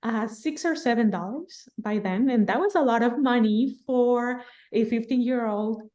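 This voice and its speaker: Lively woman from Guatemala, in her thirties. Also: fairly high pitch, normal speed. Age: 30s. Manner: lively, laughing, animated storytelling. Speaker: podcast guest. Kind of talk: casual storytelling interview.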